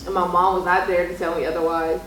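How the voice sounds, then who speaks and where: A woman's voice: lowish voice, level tone, fast, fairly bright tone. A young woman telling stories in a casual, chatty vlog style, acting out dialogue as she goes. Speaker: vlog host interviewing mother. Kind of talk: casual storytelling chat. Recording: roomy echo with background noise.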